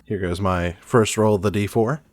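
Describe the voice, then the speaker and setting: A man's voice: fairly low pitch, fairly even intonation, moderate pace. A man chatting casually and playfully as a player in an improvised tabletop role-playing game.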